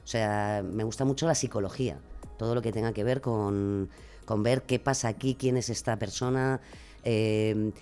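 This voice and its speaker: A woman with a Castilian Spanish accent talks casually as an interview guest. She is expressive and rambles a little, with a self-deprecating, informal way of explaining herself. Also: a bass voice. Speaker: guest, true-crime podcaster. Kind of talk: casual radio interview chat.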